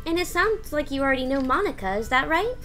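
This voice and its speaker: A woman voicing a bubbly, excitable, childlike schoolgirl while reading a visual novel aloud. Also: sits high, quick pace, faint music behind, heavily acted. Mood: cheerful.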